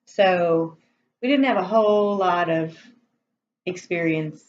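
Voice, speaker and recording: A woman answering interview questions in an easygoing, halting way. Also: on the low side. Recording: muffled, off-mic, faint noise.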